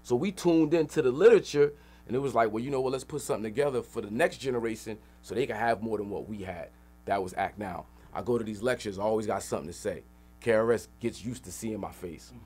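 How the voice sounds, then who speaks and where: Emphatic middle-aged New man, lowish voice. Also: fast talker, a little mellow, slightly rough. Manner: emphatic, streetwise, slangy. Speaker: interview guest, rapper and activist. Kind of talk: animated storytelling in a radio interview. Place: radio interview room.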